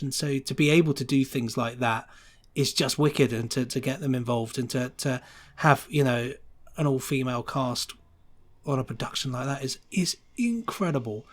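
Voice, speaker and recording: Effusive man chatting on a podcast. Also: medium pitch. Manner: effusive, rambling. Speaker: podcast guest, sound designer. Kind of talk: casual enthusiastic chat.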